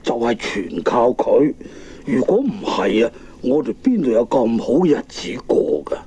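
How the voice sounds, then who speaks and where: A man's voice: mid-range voice, big pitch swings, measured pace, dark tone, breathy edge. A man with a Hong Kong Cantonese accent playing a kindly old shoemaker in a children's radio drama, hesitant and sighing. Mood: wondering. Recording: old cassette with faint noise.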